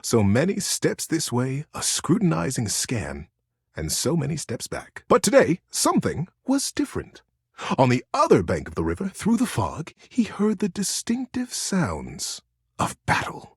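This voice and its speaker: Male storyteller, dramatic children's-tale read, hugely varied pitch, dry close sound. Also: unhurried, breathy edge, no room sound. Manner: theatrical, expressive.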